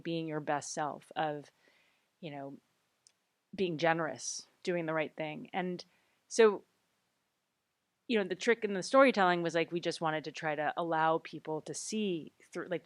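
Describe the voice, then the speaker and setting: Woman, low voice, dry close mic, film director fielding Q&A, rambling with many fillers and restarts. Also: no room sound. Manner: halting, full of fillers and restarts. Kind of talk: Q&A panel answers. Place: cinema auditorium.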